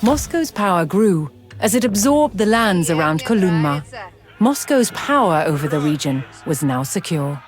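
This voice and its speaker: A narrator delivering scripted, documentary-style storytelling for a game in a measured, dramatic manner. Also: medium pitch, very animated. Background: music.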